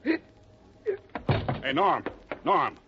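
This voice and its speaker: Hard-boiled American radio private eye. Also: male voice, on the high side, huge pitch swings, very slow, background noise, full character voice. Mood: urgent, tough. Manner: terse, wry, tough. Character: hard-boiled, world-weary American private detective narrating his case. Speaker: lead actor and narrator. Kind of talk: 1940s radio detective drama acting. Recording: muffled old-time radio.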